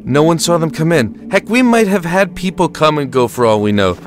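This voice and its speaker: An actor playing a tired but steady American army lieutenant in a war audio drama, with measured, reassuring authority. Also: male voice, on the high side, close to an everyday voice. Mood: steady, weary.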